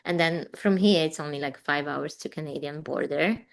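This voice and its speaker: A woman's voice: sits low, moderate pace, gravelly. A woman in her forties from Poland with a Polish accent, chatting in an interview as a guest artist and rambling with fillers. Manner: rambling, warm, frequent fillers and laughs. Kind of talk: casual interview chat.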